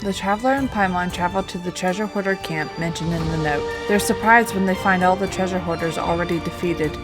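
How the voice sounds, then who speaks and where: A woman's voice: fairly low pitch, brisk. A woman reading scripted narration of a video game story as a storyteller. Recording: voice-over with music bed. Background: music.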